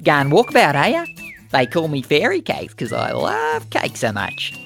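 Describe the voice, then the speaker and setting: A woman's voice: low-pitched, huge pitch swings, moderate pace, vocal fry, soft background music, full character voice. A woman voicing a cheeky male bush fairy with a put-on Australian accent and a brash, chummy manner.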